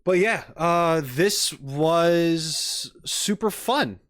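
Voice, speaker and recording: A man's voice: a little high, expressive, drawn-out words, fairly bright tone, no room sound, studio quality. A man streaming casually to viewers, rambling and thinking aloud as he gives tentative opinions. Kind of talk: casual live-stream chat, reacting and giving opinions.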